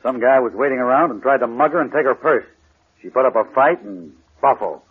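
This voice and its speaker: A man's voice: medium pitch, fairly quick, husky, natural voice. Male actor as confident homicide cop, American accent, dismissive, slangy, thin vintage radio recording. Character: brusque, confident male New York homicide police inspector. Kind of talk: old-time radio crime drama acting. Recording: tinny, band-limited old radio recording.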